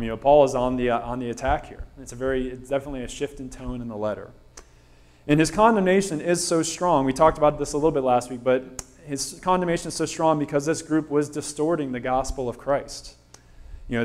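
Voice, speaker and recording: Man preaching, thirties, pastor, steady sermon delivery, ums and restarts, small church sanctuary, heard from a camera. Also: medium pitch.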